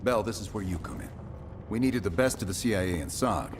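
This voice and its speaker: A man's voice: lowish voice, animated, fairly quick, background noise, close to an everyday voice. An American man playing a terse, commanding Cold War CIA team leader in a cinematic game briefing. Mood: grave, quiet. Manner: terse, controlled, authoritative.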